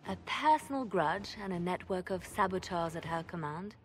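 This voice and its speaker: An actress playing a composed, secretive spymaster in a fantasy game, measured and calculating in manner. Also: female voice, lowish voice, expressive, a little hiss or hum, natural voice. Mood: grave, measured. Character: composed, secretive female spymaster advising a commander. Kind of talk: dramatic game cutscene dialogue.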